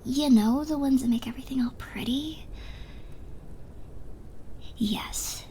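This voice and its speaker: A woman's voice: high-pitched, slow, warm tone, slight background noise, rough recording. A young woman playing a drunk, giddy, smitten rival in an ASMR roleplay, rambling and stammering as she trails off. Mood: dreamy, rambling.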